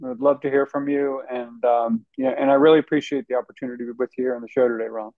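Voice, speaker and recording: A man answers interview questions as a guest author, speaking at a middle pitch in a relaxed, conversational way with many fillers. His audio sounds a little dull and lo-fi. There is little room sound around him. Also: quick pace, no room sound.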